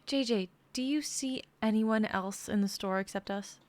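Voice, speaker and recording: A woman's voice: medium pitch, moderate pace, a little mellow, close to an everyday voice. A young woman playing a protective, worried store worker in a dramatic script reading. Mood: firm, caring. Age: young adult.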